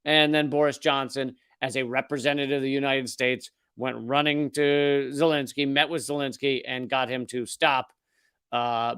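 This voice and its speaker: A man's voice: mid-range voice, a bit bright, clean tone, no room sound, studio quality. A man hosting a political comedy show, delivering sardonic, profane commentary with mocking put-on voices.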